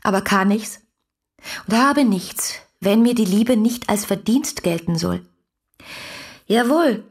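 A young woman who pours out her love in letters for a radio play. Her voice is husky with breath and often sinks toward a whisper. She is ardent, poetic and intimately confiding. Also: medium pitch. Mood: fervent devotion. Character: devoted, yearning young woman writing love letters to an older poet. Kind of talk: literary radio drama, letters read as intimate monologue.

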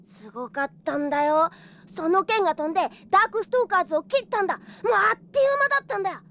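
A woman playing a cheeky, excitable, chatty young boy in an audio drama, eager and boyish. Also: very high voice, fast, full character voice. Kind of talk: Japanese audio drama acting.

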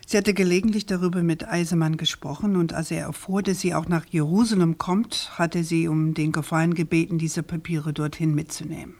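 An actress playing a persistent, composed investigative journalist in a radio crime drama, probing and articulate. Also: female voice, low-pitched, steady tone, a little fast, hardly any pauses, a little mellow.

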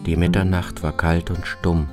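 Low-voiced man narrating in clipped phrases. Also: flat delivery, moderate pace. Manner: terse, clipped, fragmentary phrasing. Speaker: narrator of a radio travel piece. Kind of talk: scripted first-person narration, radio feature. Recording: music behind the voice. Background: music.

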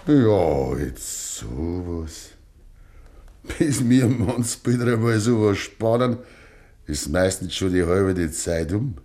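Old Bavarian farmer, 1950s radio play: low, very slow, stubborn but tender. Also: male voice, lively intonation, mellow. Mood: moved, pleased. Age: older. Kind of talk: 1950s German dialect radio drama acting.

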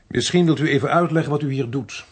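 Husky, dry police inspector. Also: male voice, on the high side, fast talker. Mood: dry, suspicious. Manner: terse, dry. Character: dry, watchful male police inspector, guarded and businesslike. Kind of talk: radio drama acting with first-person narration.

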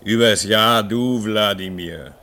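An actor playing a restless, homesick man at a polar station in a German radio drama, brooding and theatrical, breaking into forceful exclamations. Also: on the low side, slow. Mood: defiant, forced cheer.

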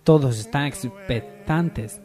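A man's voice: medium pitch, slow pace, a little mellow. A man giving solemn, scripted narration as the narrator of a parody radio soap. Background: music.

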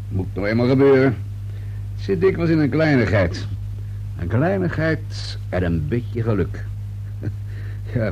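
An actor playing a wry, persistent police inspector in a Dutch radio play, questioning hesitantly and insinuatingly. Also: male voice, medium pitch, darkish tone, slightly rough, dull audio. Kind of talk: Dutch radio drama dialogue. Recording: noisy old radio play recording with hum.

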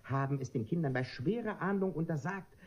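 An actor playing a pompous, self-satisfied philosopher tutor in a comic radio play, lecturing in an oily, pedantic manner. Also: male voice, on the high side, expressive, fairly quick. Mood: smugly lecturing. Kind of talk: German radio play comedy acting. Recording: muffled radio drama recording.

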